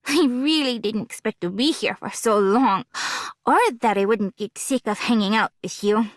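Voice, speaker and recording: A woman's voice: on the high side, moderate pace, no room sound, studio quality, close to an everyday voice. A woman playing a bubbly, mischievous young woman in a video game, playful and theatrical. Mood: playful, teasing. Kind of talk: video game character voice acting.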